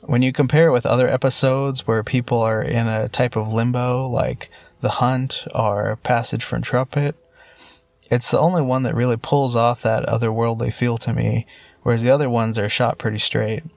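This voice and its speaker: Male voice, American accent, medium pitch, very dry sound, prepared review commentary. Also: level tone, moderate pace, vocal fry, darkish tone, dry recording. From Los Angeles, USA. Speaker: listener sending in commentary. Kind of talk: prepared spoken commentary on television episodes.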